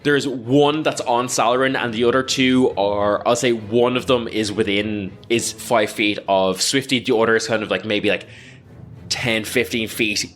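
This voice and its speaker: A man narrating a tabletop role-playing game as game master, improvising descriptions in a halting, filler-heavy way. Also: lowish voice, steady tone, a bit bright. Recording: background music under the voice.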